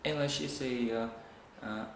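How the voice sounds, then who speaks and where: A young man with a Vietnamese accent, a student taking a practice speaking test, answering slowly with many hesitations and restarts. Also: mid-range voice. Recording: echoey room, faint noise.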